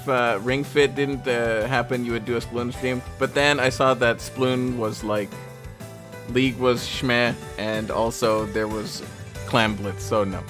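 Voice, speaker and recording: A man live-streaming a game, chatting playfully with his viewers and joking around. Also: mid-range voice. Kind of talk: casual live-stream chat. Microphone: desk microphone. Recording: music playing behind.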